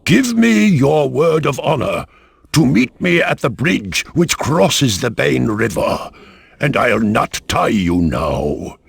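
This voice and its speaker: Gravelly, pompous guardsman. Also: mid-range voice, big pitch swings, slow pace, dry recording, full character voice. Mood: condescending. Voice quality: gravelly, husky. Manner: pompous, dryly formal. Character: haughty male bodyguard and lawman, dryly condescending. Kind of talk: video game cutscene acting.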